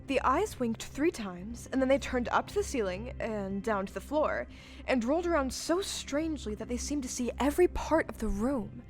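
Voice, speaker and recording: A woman's voice: fairly high pitch, animated, normal speed, faint music behind. A woman giving scripted storybook narration in an audio play.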